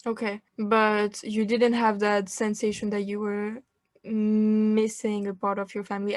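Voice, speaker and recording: Flat-voiced woman podcast host. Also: mid-range voice, dry recording. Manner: steady, encouraging with frequent backchannels. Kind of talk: podcast interview.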